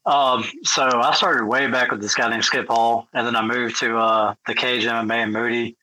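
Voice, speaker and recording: A man's voice: mid-range voice, steady tone, normal speed, bright, forceful tone, no echo, low-quality recording. A man in his thirties, a guest on a podcast, chatting casually and joking about himself, dropping "man" and "bro". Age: 30s. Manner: laid-back, self-deprecating, says "man" and "bro". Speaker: podcast guest, grappling athlete.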